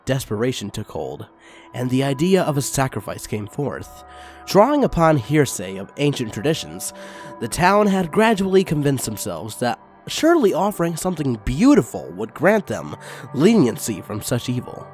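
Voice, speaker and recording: A man reads a story aloud for his channel, his pitch rising and falling a lot. His asides are excitable and crude, and faint music plays underneath. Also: normal speed. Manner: excitable and crude in the intro, then steady reading. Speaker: channel host and reader. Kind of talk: reading fanfiction aloud.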